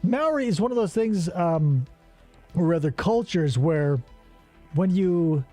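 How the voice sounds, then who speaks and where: High, lively man hosting a joke game show. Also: slow pace, soft background music. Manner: rambling, profane, sarcastic asides. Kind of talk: casual comedic game show chat.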